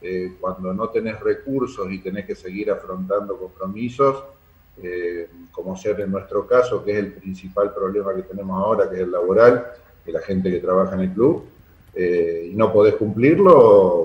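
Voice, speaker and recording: A man's voice: deep voice, moderate pace, darkish tone. An Argentine man answering interview questions as a club official, speaking hesitantly and searching for his words. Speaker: sports club secretary being interviewed. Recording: dull, echoey room sound.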